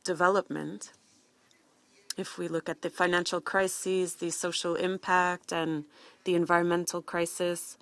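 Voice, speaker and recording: A woman giving live interpretation of a formal speech, in halting phrases with false starts. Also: lowish voice, flat delivery, no room sound. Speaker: simultaneous interpreter.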